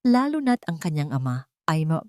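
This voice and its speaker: A woman narrator performs a scheming wealthy mother in an audio drama. She is theatrical and sugary with feigned sorrow, her pitch swinging in a dry, close recording. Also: sing-song, normal speed, dark tone, no echo. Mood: fake sweetness. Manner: falsely sweet, theatrical.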